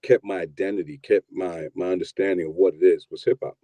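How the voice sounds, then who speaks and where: A man in his fifties from New York telling his story in a relaxed, rambling interview, often repeating words as he starts. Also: low voice, brisk, no room sound. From New York, USA. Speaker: guest, producer and DJ.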